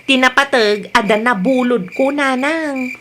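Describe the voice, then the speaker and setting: A woman's voice: high voice, measured pace, a bit bright, slight background noise. A woman with an Ilocano accent playing a cheerful, gossipy village woman in a radio comedy drama. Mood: explaining.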